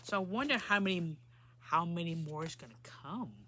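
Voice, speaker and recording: A woman's voice: fairly low pitch, lively intonation, normal speed, bright, forceful tone. A woman storyteller reading a children's storybook aloud and adding simple asides about the pictures.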